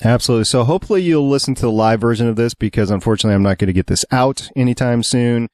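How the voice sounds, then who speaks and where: A man's voice: mid-range voice, racing, darkish tone, dry recording, studio quality. A man hosting a casual fan podcast in a chatty, enthusiastic way. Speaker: podcast host.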